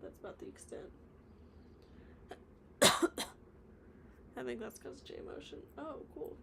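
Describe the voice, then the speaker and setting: A woman's voice: high-pitched, huge pitch swings, takes it slowly, vocal fry, darkish tone, a little hiss or hum. A woman live-streaming her stop-motion animation work, chatting casually, reading comments and rambling with mumbled asides. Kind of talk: casual livestream chat reading viewer comments. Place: home workspace, animating on the floor.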